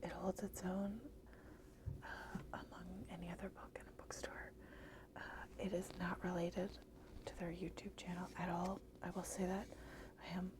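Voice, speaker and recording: A woman whispering a soft ASMR book review, slightly hoarse and hesitant, with many fillers. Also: fairly low pitch, level tone, moderate pace, noisy background.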